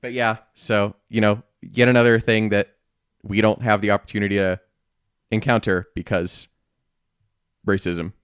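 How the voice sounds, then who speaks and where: Man, lowish pitch, dry studio sound, casual opinionated podcast talk, emphatic. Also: little pitch movement, moderate pace, pauses often, clean tone, dry recording. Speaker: podcast co-host. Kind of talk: casual opinionated fan discussion.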